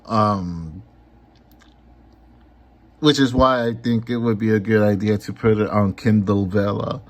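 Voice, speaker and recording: A man talking casually in a vlog about his comics and live streams, unhurried, with many ums. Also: lowish voice, fairly even intonation. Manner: unhurried, lots of ums and filler. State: a little hoarse. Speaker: comic artist and YouTuber.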